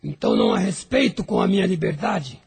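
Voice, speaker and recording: A man playing a proud, resentful dark spirit lord in an audio drama, raspy, gravelly and sardonic. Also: high voice, big pitch swings, a little fast, a crowd in the background, heavily acted. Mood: defiant, sardonic.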